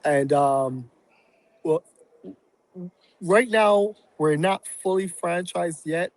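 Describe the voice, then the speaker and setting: Halting reflective man from Barbados. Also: high-pitched, dry recording, poor audio. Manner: reflective, halting with many fillers. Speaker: real estate investor and guest. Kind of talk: casual podcast interview.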